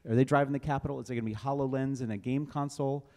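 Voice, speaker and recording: Male moderator for a live panel, average pitch, addressing speakers and attendees. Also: steady tone, very fast, a little mellow, in a large hall. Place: indoor event space before a small audience.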